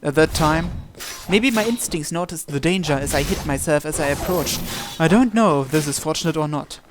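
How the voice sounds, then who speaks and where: A man's voice: a little high, fairly quick. A man reading a visual novel aloud as first-person narration for a playthrough. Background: game music.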